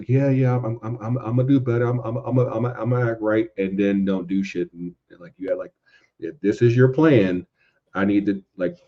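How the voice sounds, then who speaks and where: A man's voice: lowish voice, warm tone. A man co-hosting a sports podcast, giving emphatic, opinionated takes in casual, sweary talk and often repeating words for stress. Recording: dull lo-fi sound.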